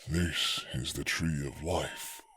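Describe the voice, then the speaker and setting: A man voicing a massive cursed male werewolf, grave and beseeching, with a low, gravelly, husky voice in a slow, solemn fantasy story delivery. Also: steady tone, fairly bright tone, a little hiss or hum, exaggerated voice. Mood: awed, solemn.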